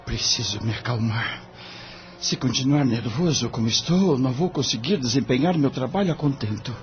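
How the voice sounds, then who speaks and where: A man's voice: mid-range voice, lively intonation, normal speed, natural voice. A Brazilian man playing a young, idealistic novice lawyer in an audio drama, polite, earnest and hesitant. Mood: nervous. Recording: dull recording with faint music bed.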